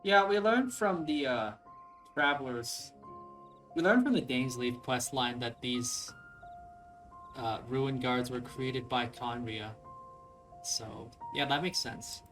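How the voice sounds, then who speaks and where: A man's voice: mid-range voice, animated, takes it slowly, long pauses, fairly bright tone, poor audio. A young man giving casual, musing let's play commentary in a hesitant way. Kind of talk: casual gameplay commentary, reading options aloud. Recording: voice-over with game music behind.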